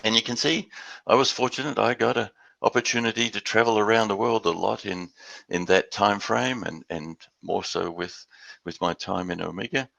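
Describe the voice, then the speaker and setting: An older man with an Australian accent giving a webinar presentation, halting and repeating words as he goes. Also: fairly low pitch, vocal fry, a bit bright, no echo. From Western Australia. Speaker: guest speaker.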